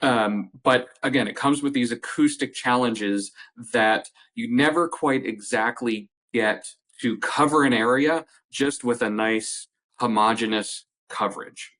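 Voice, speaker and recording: A man giving a conversational, measured technical explanation as a product expert in an interview, restating points for emphasis. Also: medium pitch, fairly bright tone. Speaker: company representative, audio product expert.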